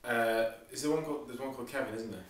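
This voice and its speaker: A man's voice: medium pitch, fast. A man in his late thirties giving brief, hesitant answers as a quiz contestant. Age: 30s. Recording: echoey room, distant, chatter behind.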